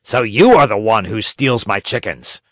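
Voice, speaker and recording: A man voicing a stern, angry farmer in a children's storybook, with a rough, scolding, threatening manner. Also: fairly low pitch, lively intonation, moderate pace, no room sound, close to an everyday voice. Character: angry, stern male farmer catching a thief.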